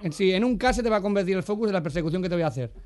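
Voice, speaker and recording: Fast, high-pitched bullying cop, acted by a man. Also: expressive, a little mellow. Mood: taunting, threatening. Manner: pushy, stammering repetitions, mocking. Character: bullying, taunting male police officer, cocky and threatening. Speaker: radio prank caller. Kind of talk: improvised prank phone call.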